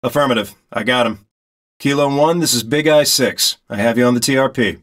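Game role: male actor as military spotter pilot, middle register, terse and commanding, steady under pressure. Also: mid-range voice, brisk, close to an everyday voice. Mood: focused, commanding. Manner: clipped, commanding. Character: steady male military reconnaissance pilot directing a ground squad. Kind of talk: terse military radio commentary, game acting.

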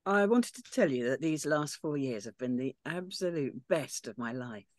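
A woman's voice: deep voice, lively intonation, normal speed, no room sound, clean studio sound. A woman on a video call reading the part of an easygoing, blunt young woman in her twenties. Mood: earnest.

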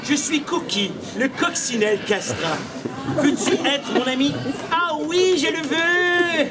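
A man voicing an earnest, excitable little male insect in a puppet show, wide-eyed and melodramatic. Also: extremely high pitch, takes it slowly, over a noisy crowd, heavily acted. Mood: smitten. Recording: outdoor camera recording with audience noise.

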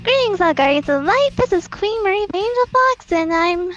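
A woman voicing Marie, a young female angel fox, joining a game playthrough with soft, giggly chat. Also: very high-pitched, lively intonation, moderate pace. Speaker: co-player in a voiced let's play. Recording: slightly dull lo-fi audio with background music.

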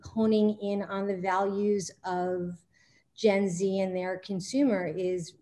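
A woman's voice: mid-range voice, little pitch movement, warm tone. Upbeat middle-aged woman, interview guest. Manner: upbeat, quick bursts, talks up inclusivity. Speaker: guest, company founder. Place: home room.

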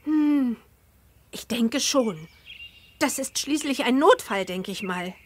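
A woman voicing a cheerful teenage girl witch in a children's game, speaking expressively and clearly. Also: a little high, expressive, slow, a little hiss or hum. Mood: helpful, thoughtful. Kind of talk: scripted children's game dialogue.

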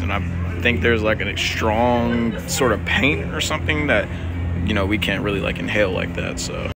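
A young man with a raspy, worn-out voice, chatting casually as he vlogs through a theme park at night on a phone held at arm's length. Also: fairly low pitch. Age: young adult. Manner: chatty, rambling, laughs often. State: exhausted. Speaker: vlogger. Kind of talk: casual vlog walkthrough. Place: outdoor theme park and shopping area at night, rainy.

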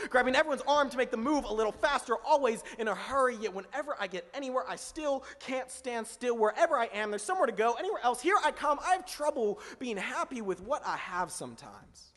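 A man's voice: very high-pitched, fairly bright tone, in a large hall. A young man performing spoken word poetry on a small stage, speaking into a stand mic with rhythmic, emphatic delivery. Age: young adult. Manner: rhythmic, emphatic delivery with sweeping hand gestures. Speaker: slam poet. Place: small indoor stage in front of a window. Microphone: stand mic at mouth height.

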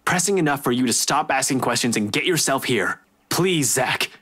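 A frustrated young man in a visual novel. His voice is husky and swings widely in pitch. He is curt and urgent, as if worried about a friend. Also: expressive, moderate pace, fairly bright tone. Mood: tense, pleading. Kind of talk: visual novel game voice acting.

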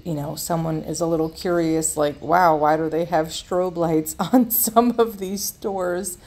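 A woman from the United States narrates her walking tour in a casual, rambling way, circling back to her points and filling pauses with "um" and "you know." Her voice sits a little low for a woman. Faint background noise runs underneath. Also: gravelly, darkish tone. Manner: chatty, repeats words, many fillers. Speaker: travel vlogger.

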